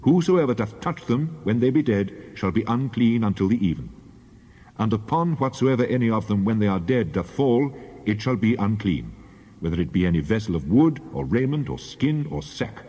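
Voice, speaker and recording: A man narrating scripture as a Bible reader, solemn, deliberate and declamatory. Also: lowish voice, animated, warm tone, breathy edge, lo-fi sound. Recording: long reverberant hall echo.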